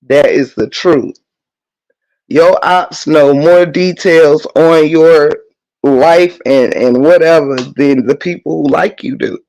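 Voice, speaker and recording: A man whose voice is worn down and hoarse, and a little stuffy, talks on his own livestream and reads out chat comments. His voice sits a bit high for a man. He rants with heavy swearing and hammers his points again and again. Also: slow, a little mellow, poor audio. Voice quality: profane, emphatic ranting with repetition. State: hoarse, losing voice; congested. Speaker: livestream host. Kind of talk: casual livestream talk, reading chat.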